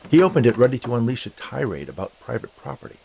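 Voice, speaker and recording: Male story narrator: husky, creaky, animated scripted read, lowish pitch. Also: quick pace, low-quality recording.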